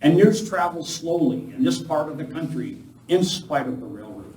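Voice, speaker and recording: An actor playing an earnest, commanding masked lawman hero in a live Western radio play, speaking deliberately. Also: a little high, animated, darkish tone, close to an everyday voice. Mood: steady, grave. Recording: echoey room from far off, noisy.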